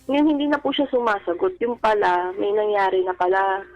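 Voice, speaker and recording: A woman calling a radio show by phone, telling a personal story haltingly and politely, quoting dialogue as they go. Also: medium pitch, fairly even intonation, a little mellow. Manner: halting, polite, uses respectful "po" often. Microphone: telephone. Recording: dull, band-limited radio phone call.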